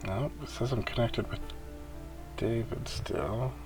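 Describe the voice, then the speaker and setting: A man's voice: mid-range voice, slow, over music. A man giving casual gameplay commentary, muttering his way step by step as he thinks aloud on a noisy home recording. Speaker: gamer hosting a server event.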